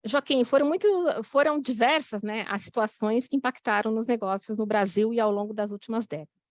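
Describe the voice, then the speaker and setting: Female podcast host, attentive interviewer, high voice, dry recording. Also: steady tone, brisk, dry recording. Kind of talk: interview questions.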